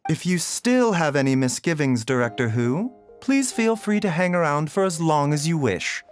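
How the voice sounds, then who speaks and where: Man voicing a courteous doctor with lilting pitch. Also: expressive, soft background music, natural voice. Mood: polite, guarded. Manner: polite, measured, formal. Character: composed, courteous, enigmatic male doctor. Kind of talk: video game voice acting.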